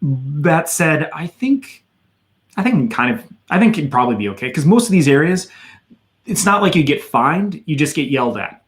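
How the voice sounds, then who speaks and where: A man in his thirties from Wisconsin with an American accent, chatting casually as he answers viewer questions on his livestream. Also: mid-range voice. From Madison, Wisconsin, USA. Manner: chatty and loose, many fillers, reads out viewer questions. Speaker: channel host answering chat questions.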